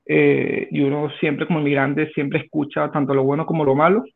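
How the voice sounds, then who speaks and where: A Venezuelan man in his thirties, a guest in an interview, answering in a halting way with many restarts. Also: on the high side, level tone, vocal fry. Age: 30s. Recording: tinny phone line.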